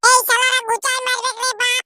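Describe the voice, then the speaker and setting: A performer voicing a brash, slangy young village lad in a comedy cartoon, with a Purulia accent and a squeaky pitched-up voice. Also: monotone, fairly quick. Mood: brash, bantering. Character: rowdy young village lads squabbling and teasing, cartoon. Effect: pitched-up voice filter. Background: loud music.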